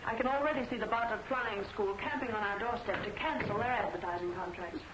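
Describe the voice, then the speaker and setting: An actress playing an exasperated, wisecracking American newspaper office worker in 1940s film serial style. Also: female voice, mid-range voice, fast talker, lightly acted. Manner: sardonic. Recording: echoey, noisy vintage soundtrack.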